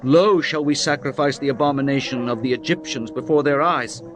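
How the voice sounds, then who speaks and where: A man's voice: medium pitch, a little fast, natural voice. A man playing an earnest, firm prophet in a solemn, declamatory dramatised scripture reading. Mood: firm, protesting. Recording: music behind the voice.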